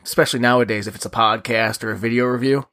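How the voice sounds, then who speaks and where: A man co-hosts a podcast with a voice gone hoarse and gravelly. He talks casually and haltingly, leaning on "like" as he searches for words. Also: mid-range voice, fairly even intonation, pushed and bright. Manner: halting, says "like" a lot. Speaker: podcast co-host. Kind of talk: casual chat.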